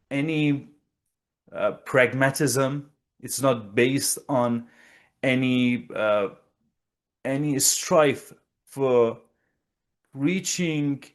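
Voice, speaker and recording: A man from Iran speaks as a guest analyst in an interview. His pace is very slow and deliberate, with halting pauses as he builds his points, and his pitch sits in the middle range.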